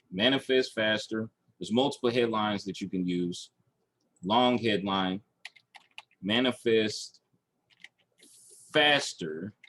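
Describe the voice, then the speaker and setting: Man, low voice, slow pace, instructional, step-by-step screen-share tutorial. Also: fairly bright tone. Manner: instructional, checking in with "okay" and "all right". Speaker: online marketing instructor. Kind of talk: screen-share tutorial, talking through steps aloud.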